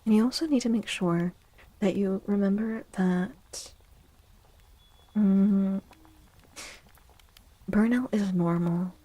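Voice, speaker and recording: A woman livestream host speaking in a soft, gentle ASMR style, often half-whispering in a breathy, soothing and affectionate way. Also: medium pitch, slow pace, long pauses, dry recording, poor audio. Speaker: livestream host doing tarot readings.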